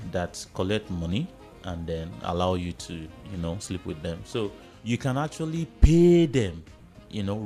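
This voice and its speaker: A man with a Nigerian accent speaking as a panellist in a radio discussion, explaining his views in a reflective, halting way. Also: fairly low pitch, a little mellow.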